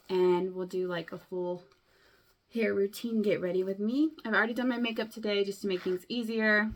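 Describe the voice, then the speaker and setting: A young woman vlogging casually to camera, chatty and rambling, her voice a bit hoarse from a cold. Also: medium pitch, fairly bright tone. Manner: chatty, rambling, many likes and ums. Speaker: beauty vlogger.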